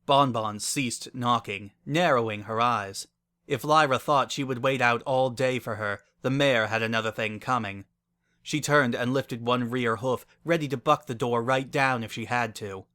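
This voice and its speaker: A man's voice: medium pitch, normal speed, pushed and bright. A man reading scripted dramatic narration as a story narrator.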